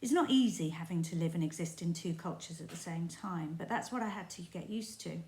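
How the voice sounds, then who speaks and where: A woman teacher reading a chapter of a book aloud in a steady, expressive storytelling voice. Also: lowish voice, a little fast. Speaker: primary school teacher. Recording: roomy echo.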